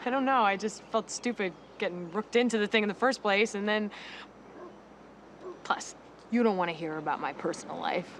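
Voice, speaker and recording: A woman's voice: medium pitch, normal speed, fairly bright tone, lightly acted. Sheepish woman, faint noise behind acted. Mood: awkward, grateful. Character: evasive, sheepish woman admitting a new date. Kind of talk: naturalistic TV drama dialogue.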